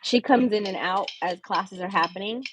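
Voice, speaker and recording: Relaxed, friendly woman sewing teacher. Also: mid-range voice, normal speed, slight background noise. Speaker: sewing instructor, guest on a live stream. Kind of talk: casual live-stream chat. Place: shared office at a sewing school. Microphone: computer mic on a video call.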